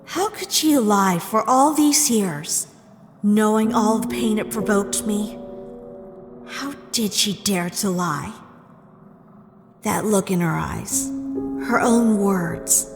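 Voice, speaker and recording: A woman's voice: mid-range voice, animated, takes it slowly, pauses often. A woman acting a betrayed, indignant young princess in a dramatic comic dub, with an accusing, emphatic delivery. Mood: hurt, suspicious. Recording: long hall reverb under music.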